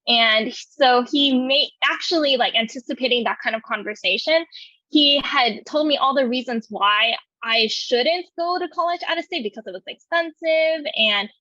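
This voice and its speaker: A woman's voice: sits high, normal speed, bright, forceful tone, dry recording. A woman in her twenties from California, telling her life story in an oral history interview, talking hesitantly with many fillers and restarts. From California, USA. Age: 20s. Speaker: veteran interviewee. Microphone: video call. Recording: muffled video call audio.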